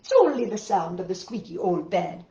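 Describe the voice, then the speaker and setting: A woman voicing a patient old grandma in a storybook, gently hushing in repetitive sing-song phrases. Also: on the low side, animated, moderate pace, creaky. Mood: soothing, chiding. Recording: roomy, dull lo-fi home recording.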